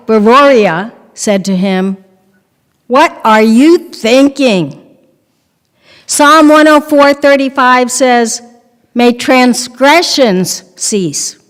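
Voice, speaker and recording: An older woman reading a religious story aloud at a synagogue podium. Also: high voice. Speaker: readers theater performer. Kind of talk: storytelling read from a religious text. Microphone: podium microphone on a boom. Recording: reverberant hall.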